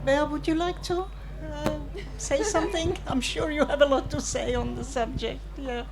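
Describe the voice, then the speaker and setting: A woman moderating a live panel, politely and haltingly handing questions over to speakers and audience. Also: sits high, steady tone, creaky.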